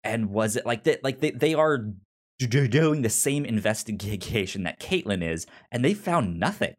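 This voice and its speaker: A man's voice: medium pitch, expressive, moderate pace. A man talking casually as a podcast co-host, recapping a story with frequent stammers and repeated false starts. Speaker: podcast co-host discussing an audio drama.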